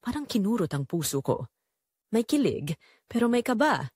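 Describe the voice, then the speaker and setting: Remorseful secretary-mistress played by a woman, first-person romantic audio drama, animated intonation, confessional storytelling, dry recording. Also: medium pitch, normal speed, breathy edge, dry recording. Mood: wistful, guilty.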